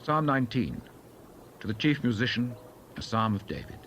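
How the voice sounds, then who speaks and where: Male announcer for scripture titles, creaky and lively in pitch, dull recording with faint noise. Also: normal speed. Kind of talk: formal scripted announcement of Bible headings.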